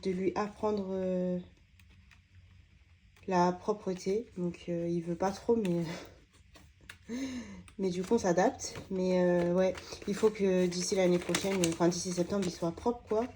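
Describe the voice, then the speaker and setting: A woman's voice: on the low side, steady tone, unhurried, pauses often, warm tone, slight background noise. A woman, slightly stuffy from a cold, chatting casually while sorting her budget envelopes, with lots of hesitant fillers. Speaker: budgeting vlogger.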